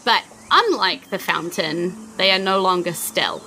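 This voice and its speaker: Kiwi lady dm describing a vision, bright clear voice, lots of likes. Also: on the low side, animated, unhurried, a little hiss or hum, rough recording. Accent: New Zealand. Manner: vivid, searching descriptions full of "like" and "you know". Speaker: game master. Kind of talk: describing scenes for a tabletop game.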